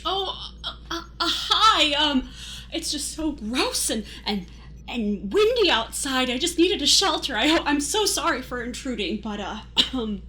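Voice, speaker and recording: A woman's voice: sits high, very animated, a little slow, pushed and bright, heavily acted. A woman playing a timid, aimless thirty-something drifter, stammering and apologetic, in an improvised comedy radio play. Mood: nervous, apologetic. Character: timid, aimless thirty-five-year-old wanderer named Fred. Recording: light background noise.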